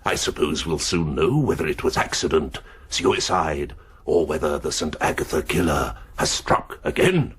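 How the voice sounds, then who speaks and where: Very deep, husky mystery announcer. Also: male voice, moderate pace, exaggerated voice. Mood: ominous.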